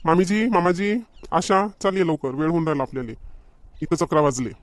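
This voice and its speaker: Man acting a fussy, hurrying village father, higher-pitched. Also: expressive, brisk, no echo, lightly acted. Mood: impatient, hurried. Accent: rural Maharashtrian. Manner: nagging, repetitive urging. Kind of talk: homemade cartoon comedy dialogue.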